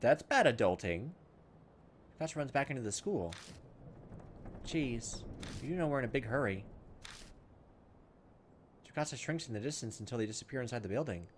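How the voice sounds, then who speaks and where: A man hosting a let's play, reading game text aloud and adding animated, casual asides. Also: medium pitch, moderate pace, long pauses, slight background noise. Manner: animated, casual asides between read-out lines. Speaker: gameplay host. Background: game music.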